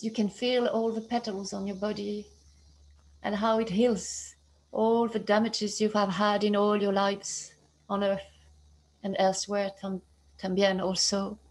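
A woman guiding a meditation in a slow, soothing, hushed and even voice. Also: mid-range voice, poor audio. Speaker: meditation guide. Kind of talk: guided meditation.

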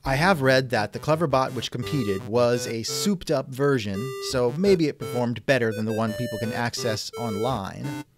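A man's voice: medium pitch, normal speed, music in the background. A man hosting a scripted podcast interview with a dry, sardonic manner, close on the microphone.

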